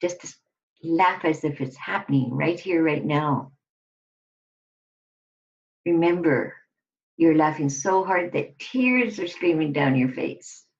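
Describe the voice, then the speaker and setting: Slow, low-voiced woman guiding relaxation. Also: expressive. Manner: deliberate, instructive, with pauses between short phrases. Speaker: guided relaxation instructor. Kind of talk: guided relaxation exercise, scripted instruction. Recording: dull lo-fi recording.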